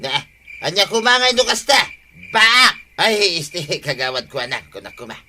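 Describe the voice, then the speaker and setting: A man's voice: high voice, very animated, normal speed, pushed and bright, slight background noise, full character voice. A man with an Ilocano accent playing a drunken, blustering village husband who wheedles when cornered, in a radio comedy drama. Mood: drunk, cheeky.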